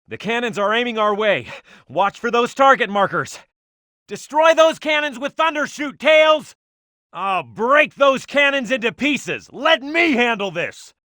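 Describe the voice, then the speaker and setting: Bold high-pitched cartoon fighter. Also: male voice, expressive, a little slow, sharp tone, no echo, studio quality, exaggerated voice. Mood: urgent. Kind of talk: scripted video game character voice acting.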